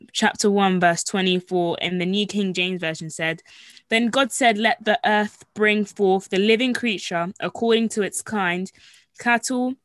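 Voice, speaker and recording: A woman's voice: medium pitch, a bit bright, no room sound. A woman hosting a casual faith discussion, reading Bible verses aloud and rambling with frequent fillers. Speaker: host.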